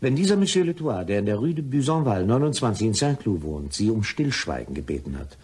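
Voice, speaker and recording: Sly, husky gentleman with swooping intonation. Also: fairly low pitch, very animated, brisk. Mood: slyly officious. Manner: probing, mock-courteous, insinuating. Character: sly, insinuating gentleman blackmailer, smoothly polite. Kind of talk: German crime radio play acting.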